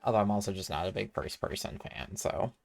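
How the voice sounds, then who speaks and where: Low, creaky woman streamer. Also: fairly quick, a little mellow. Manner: wry, chatty asides. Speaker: game streamer. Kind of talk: casual gameplay commentary. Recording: game music behind.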